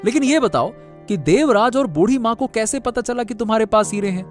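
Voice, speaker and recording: A man voicing a concerned, excitable village man in a cartoon, questioning and emphatic. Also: high voice, brisk, soft background music. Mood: indignant.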